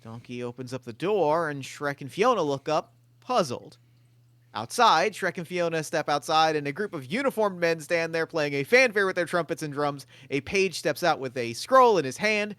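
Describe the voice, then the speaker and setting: A man's voice: fairly high pitch, animated, normal speed, a bit bright, dry recording. A man reading stage directions aloud at a table read in a plain, matter-of-fact way.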